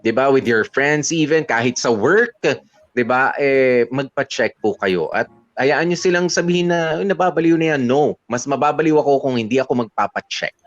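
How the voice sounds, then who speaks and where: A man's voice: medium pitch, normal speed, lo-fi sound. A man with a Filipino accent co-hosting a radio show, chatting casually in Taglish and often checking in with tag questions. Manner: conversational, punctuated with tag questions. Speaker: radio DJ co-host. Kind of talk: casual radio talk with Taglish advice to listeners.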